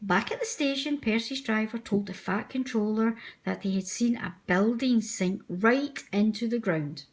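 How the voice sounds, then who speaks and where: A woman's voice: on the high side, measured pace, hardly any pauses. A woman narrating a children's storybook aloud. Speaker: storybook reader.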